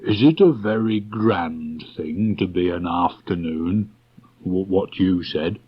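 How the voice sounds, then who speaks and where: A man voicing a gentle, slow-witted toy bear from a storybook, earnest and puzzled, speaking slowly and hesitantly. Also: low voice, breathy edge, exaggerated voice. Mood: puzzled, curious. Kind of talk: storybook character voice. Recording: dull restored cassette tape.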